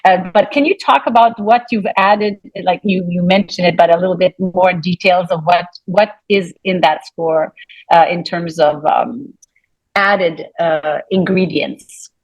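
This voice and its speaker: A woman's voice: lowish voice, a little slow, highs cut off. A woman moderating a conversation for an opera company, interviewing informally in meandering sentences that she often interrupts herself.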